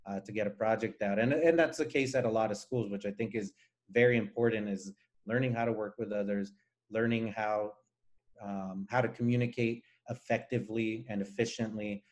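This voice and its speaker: Low-voiced man from Nicaragua, native Spanish speaker. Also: fairly even intonation, moderate pace. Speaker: guest engineer. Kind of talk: conversational interview answers.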